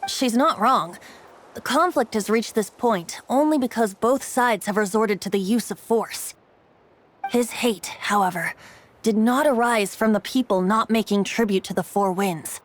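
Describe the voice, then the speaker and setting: A woman's voice: a little high, a little slow. A woman voicing a playful, secretive young male bard in a video game cutscene, talking in a lilting, teasing way. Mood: solemn. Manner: lilting, teasing, then soft and solemn. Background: game soundtrack music.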